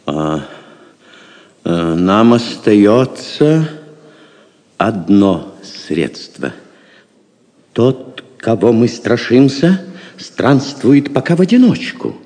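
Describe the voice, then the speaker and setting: An actor playing an anxious, imperious prince in an audio drama, speaking theatrically. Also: male voice, lowish voice, sing-song, drawn-out words, long pauses, warm tone, full character voice. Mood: cold, calculating. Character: anxious, imperious royal prince plotting against the king. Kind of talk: theatrical Russian radio drama acting. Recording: long reverberant hall sound.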